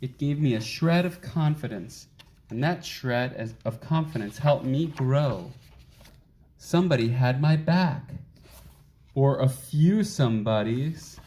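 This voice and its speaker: A man voicing a wistful, self-deprecating uncool young bean in an expressive children's storybook reading. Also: medium pitch, slow. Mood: earnest, hopeful. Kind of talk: children's picture book read aloud.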